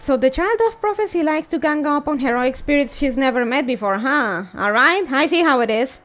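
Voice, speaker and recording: A woman's voice: high voice, animated, fairly quick, fairly bright tone. A woman reading a game story aloud as a grown-up male sage who is wry, mean and teasing. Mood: taunting. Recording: music behind the voice.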